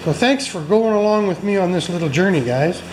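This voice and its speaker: A man's voice: high voice, lively intonation, brisk, slight background noise, poor audio. A man hosting a workshop video, chatting casually and joking with viewers while he works in a garage. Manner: chatty, self-mocking, talks to viewers while working.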